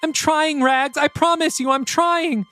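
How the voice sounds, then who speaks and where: A grieving mother pleads in a strained, lifted voice, as a man puts her on. Her lines ride far above the performer's usual pitch, with faint music beneath this spoken story. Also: big pitch swings, moderate pace, fairly bright tone, dry recording. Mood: pleading. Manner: pleading, raised voice. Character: grief-stricken middle-aged mother.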